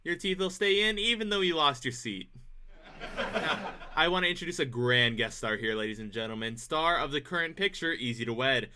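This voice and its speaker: A man's voice: on the high side, animated, moderate pace, lightly acted. A man playing a wisecracking 1940s radio comedian and master of ceremonies, with quippy, stagey patter. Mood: showy, upbeat. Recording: noisy live stage, audience sounds.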